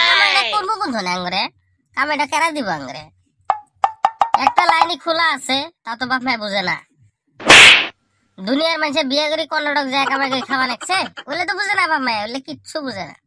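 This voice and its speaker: A young village prankster from a comedy cartoon, squeaky and pitched up. He grumbles in a rural Bengali accent, cheeky and full of exaggerated self-pity. Loud music plays under his voice. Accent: rural regional Bengali. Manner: exaggerated, grumbling, slangy, with drawn-out wails. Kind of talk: comic cartoon monologue.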